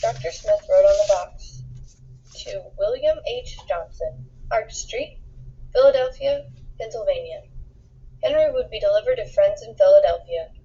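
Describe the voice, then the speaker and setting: Female narrator for a children's read-aloud, animated intonation, slightly husky voice, emphatic on dialogue, modest lo-fi sound. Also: mid-range voice, unhurried. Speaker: storybook reader. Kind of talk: expressive read-aloud of a children's picture book.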